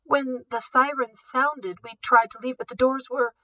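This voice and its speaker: Lady putting on a shaky teen girl, high and slow, faltering bits, bright tone. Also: steady tone, dry recording, recorded from a distance, low-quality recording. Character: teen girl feigning frailty, coughing.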